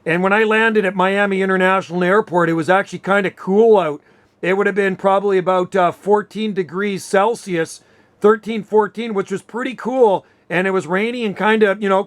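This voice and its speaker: High-voiced Canadian man chatting on radio. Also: fairly even intonation, moderate pace. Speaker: amateur radio operator, host. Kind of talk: casual radio chat.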